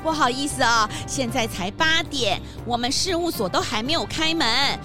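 A woman voicing a polite, patient woodpecker secretary in a children's detective audio drama, soothing and expressive. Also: high voice, normal speed, over music.

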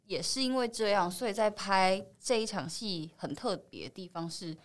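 A woman's voice: mid-range voice, normal speed. A woman with a Taiwanese Mandarin accent, a film actress answering interview questions in a thoughtful, explanatory way, now and then mixing in English words. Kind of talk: reflective interview chat.

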